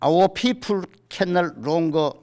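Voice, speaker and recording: A man from South Korea with a Korean accent, a native Korean speaker, formally reading out a statement in halting, deliberate short phrases. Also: on the high side, lively intonation, a little mellow. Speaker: writers' association chairman giving a statement. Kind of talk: formal read-aloud statement. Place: press conference in a book salon.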